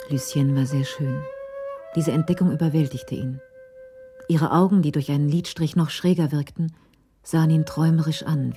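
A woman narrating a radio crime drama in a measured, literary way. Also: sits low, warm tone.

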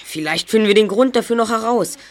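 A man's voice: high voice, quick pace. A young male actor in a German audio drama playing an observant, serious boy detective who speaks precisely. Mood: analytical. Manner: precise, articulate.

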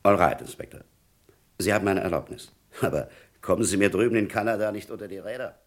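An actor playing a demanding, sceptical police superior, gruff and authoritative, in a vintage radio crime drama. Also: male voice, lowish voice, quick pace, natural voice. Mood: conceding.

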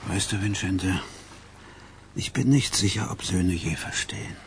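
An actor playing a sentimental old gangster confidant in a radio play, musing and confiding. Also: male voice, deep voice, measured pace, a little hiss or hum. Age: older.

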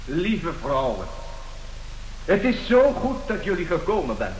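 A man's voice: fairly high pitch, wildly swooping pitch, measured pace, background noise. An actor playing a glib, brazen swindler and seducer of women in a radio play, theatrical in his bargaining and pleading. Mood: theatrical, coaxing. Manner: theatrical, swinging from cool bargaining to florid pleading. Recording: roomy radio drama recording.

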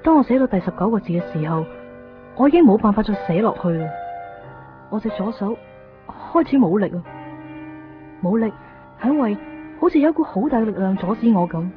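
An actress playing a soft-spoken, troubled young woman in a radio drama, introspective and hesitant, with a Hong Kong Cantonese accent. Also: medium pitch, lively intonation, brisk, long pauses, faint music behind, lightly acted. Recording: muffled 1990s radio drama recording.

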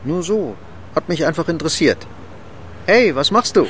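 A man's voice: a little high, expressive, moderate pace, fairly bright tone, a little hiss or hum. An actor playing an unsure, smitten middle-aged man, hesitant and brooding, in a radio drama. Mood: hesitant, curious. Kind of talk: modern German radio drama acting.

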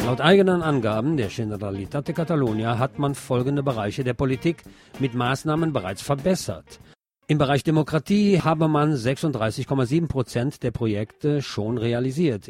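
A man's voice: medium pitch, a little mellow. A man presenting scripted radio news commentary, with an opinionated manner and wry asides. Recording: music bed behind the voice. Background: music.

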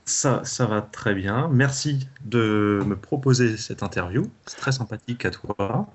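A male interview guest with a slightly low voice chats casually. He is polite and hesitant, stopping often mid-sentence, and the sound is a little dull. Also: unhurried, breathy edge. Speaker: interview guest, amateur novelist.

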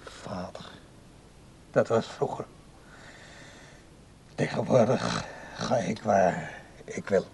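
An actor playing a stubborn, weary old father in a radio drama, speaking in a gruff, curt way. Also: fairly low pitch, sing-song, slow, pauses often, darkish tone, heavily acted. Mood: defiant. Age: older. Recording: faintly noisy radio play recording.

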